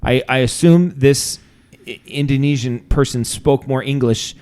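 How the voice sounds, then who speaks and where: A man's voice: medium pitch, a little slow, darkish tone, clean tone. A man co-hosting a podcast, joking and ranting in casual chat. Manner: joking, ranting, breaks into mock voices. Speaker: podcast co-host.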